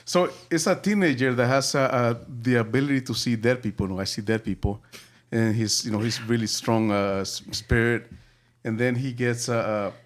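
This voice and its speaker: A man from El Salvador chats about a film on his podcast in a middle-pitched voice. He stumbles and restarts often, peppering his talk with fillers and chuckling as he recounts scenes. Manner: halting, many fillers and restarts. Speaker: podcast host. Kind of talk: casual movie review chat.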